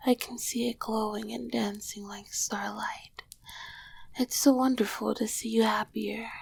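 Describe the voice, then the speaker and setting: Woman playing a breathy, whispering, regal succubus queen. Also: medium pitch, no room sound, heavily acted. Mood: tender. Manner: regal, old-fashioned, affectionate phrasing. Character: ancient succubus queen of Hell, tender, regal, flirtatious lover. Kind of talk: whispered ASMR roleplay.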